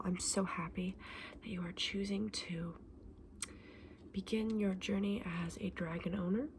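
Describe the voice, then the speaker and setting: A woman playing a kindly, chatty fantasy saleswoman in an ASMR roleplay, mixing breathy whispers with soft, gentle, unhurried speech. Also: medium pitch, background noise. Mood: warm, pleased. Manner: gentle, unhurried, hesitant, endearing customer address. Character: kindly, chatty saleswoman in a dragon egg shop.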